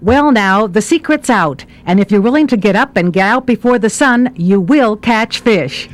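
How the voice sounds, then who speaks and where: A woman's voice: mid-range voice, expressive, normal speed. A woman reading a scripted travel narration as the reporter of the segment. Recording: music behind the narration. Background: background music.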